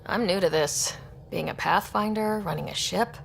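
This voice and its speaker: A young woman leading explorers in a sci-fi video game. Her voice sits low with noticeable fry. She is understated and earnest, with faint ambience behind her. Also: normal speed, slight background noise. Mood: unsure. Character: young, new, resolute human explorer leader, female.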